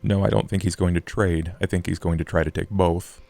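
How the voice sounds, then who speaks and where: A man voicing a grim, shrewd vampire strategist in a tabletop roleplay, with a gravelly voice. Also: deep voice, fairly even intonation, fairly quick, a little mellow, no echo. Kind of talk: actual play roleplay dialogue.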